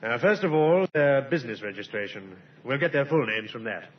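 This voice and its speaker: An actor playing an authoritative police inspector in a radio drama, questioning in a brisk, commanding way. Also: male voice, mid-range voice, lively intonation, close to an everyday voice. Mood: brisk, businesslike. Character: calm, authoritative male Scotland Yard police inspector. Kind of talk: 1950s radio drama acting. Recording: muffled old radio recording.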